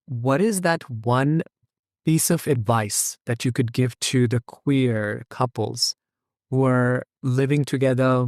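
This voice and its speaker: A man hosting a podcast interview, gently affirming his guest and asking long questions. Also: medium pitch, no echo, clean studio sound. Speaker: podcast host.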